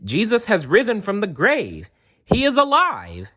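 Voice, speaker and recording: A man voicing excited, exclaiming townsfolk in a storybook read-aloud. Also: high voice, a little slow, voice pushed up, heavily acted. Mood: jubilant. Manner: loud, exclaiming. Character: excited crowd shouting in a Bible story. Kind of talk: storybook character voice.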